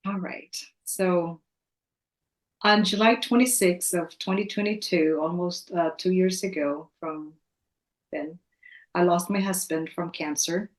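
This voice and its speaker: A middle-aged woman from the Philippines, giving an earnest personal testimony in a measured, sincere way. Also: lowish voice. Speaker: guest, elementary teacher. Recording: echoey room.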